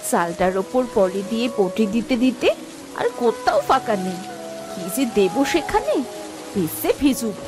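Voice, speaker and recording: A woman's voice: a little high, big pitch swings, unhurried, music in the background. A woman voicing a harried village mother and wife in a cartoon, chiding and caring in a homely way. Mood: exasperated. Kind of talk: cartoon voice acting.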